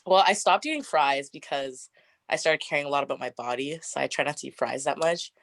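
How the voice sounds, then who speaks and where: Deep raspy young woman, chatty. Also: lively intonation, fairly quick, no echo. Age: 20s. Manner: chatty, frequent "like" fillers, laughs often. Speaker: interview guest. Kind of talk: casual get-to-know-me interview.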